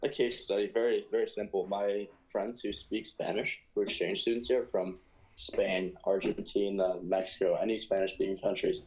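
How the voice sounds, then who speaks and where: A young man with a New York accent talks low and loose as a podcast guest, rambling through lots of ums and self-corrections. His voice comes through dull and lo-fi, as if over a remote connection. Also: steady tone, normal speed, darkish tone. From United States. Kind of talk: casual conversation.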